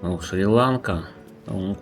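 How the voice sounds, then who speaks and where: A man's voice: low voice, slow, soft background music. A man with a Russian accent, a native Russian speaker, streaming a game and chatting thoughtfully, often stopping to restart his sentences. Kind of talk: casual gameplay commentary.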